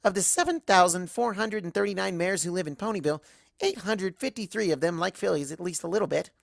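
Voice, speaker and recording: A woman's voice: on the low side, quick pace, gravelly, no echo, studio quality. A woman voicing a bubbly, scatterbrained young cartoon pony who rambles earnestly like a child. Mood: chirpy, rambling. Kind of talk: first-person fan fiction narration in character.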